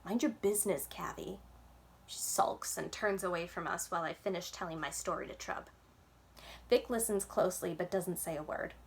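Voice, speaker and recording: A woman's voice: lowish voice, normal speed. Woman reading a children's book aloud. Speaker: library storyteller. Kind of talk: children's book read-aloud, scripted narration.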